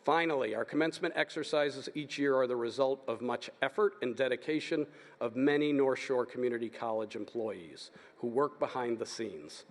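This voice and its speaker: A man giving formal, steady announcements as presenter at a graduation ceremony. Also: mid-range voice, normal speed, a little hiss or hum. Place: large hall. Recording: long reverb of a large hall.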